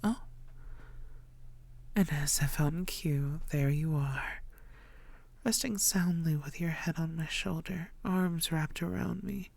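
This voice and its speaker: A woman playing an ancient, seductive female vampire, lovestruck and possessive, murmuring tenderly in breathy tones and whispers in an intimate ASMR audio roleplay. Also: sits low, takes it slowly, long pauses, a little mellow, full character voice. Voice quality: breathy, often dropping to whispers.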